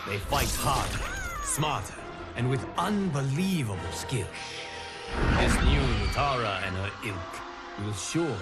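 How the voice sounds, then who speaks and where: A man voicing a solemn, godlike male guardian of the timelines, narrating game cutscenes in a grave, measured way. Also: medium pitch, lively intonation, over loud music, lightly acted.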